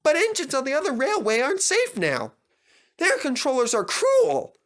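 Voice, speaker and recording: Squeaky, excitable little engine. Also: male voice, normal speed, full character voice. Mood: upset. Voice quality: squeaky, high-pitched. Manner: eager, chattering, emphatic. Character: excitable, earnest young male tank engine. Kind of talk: animated children's story character voice.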